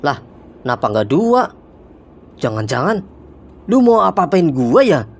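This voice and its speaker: A man's voice: on the high side, very animated, a little slow, exaggerated voice. A man voicing a grumbling, impatient young travel buddy in a cartoon, with blunt, slangy Jakarta Indonesian. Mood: wary, teasing. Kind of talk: colloquial cartoon dialogue.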